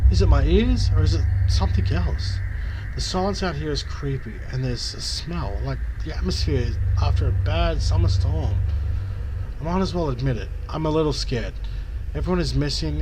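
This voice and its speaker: A man's voice: a little high, noisy background. A man hosting a story game, reading its text aloud dramatically and commenting informally. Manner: informal, swearing, thinking out loud. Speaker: gaming video host.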